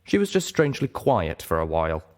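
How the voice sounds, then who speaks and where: A man reading fiction aloud as a dramatic first-person narrator, measured and expressive. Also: deep voice. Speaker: audiobook narrator.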